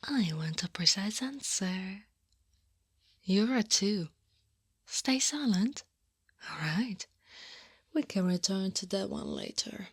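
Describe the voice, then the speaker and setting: Slow, half-whispering Krampus woman, acted by a woman. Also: mid-range voice, animated, no room sound, clean studio sound, heavily acted. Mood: probing, stern. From Italy. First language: Italian. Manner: slow, soft, breathy, often whispering. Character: eight-foot horned furry Krampus woman, teasing, coaxing, in control. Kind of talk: ASMR monster roleplay, soft half-whispered.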